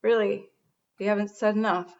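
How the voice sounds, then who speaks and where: Casting: actress for a sardonic, regretful woman radio host, natural middle pitch, comedy radio drama, slightly dull recording. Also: steady tone, moderate pace, close to an everyday voice. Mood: wry. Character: wry, tipsy woman radio show host, regretful and lonely.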